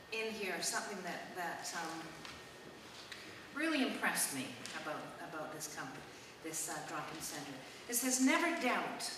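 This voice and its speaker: Hesitant woman in echoey hall. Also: medium pitch. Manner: hesitant, with many ums and restarts. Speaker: church member introducing the speaker. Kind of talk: informal introduction at a church service. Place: church hall. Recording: echoey church hall, mic at a distance, noisy.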